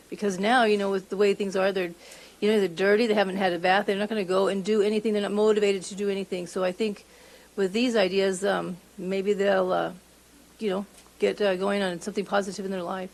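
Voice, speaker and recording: Woman, public comment, hesitant, frequent fillers, medium pitch. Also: darkish tone, low-quality recording. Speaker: public commenter at city council.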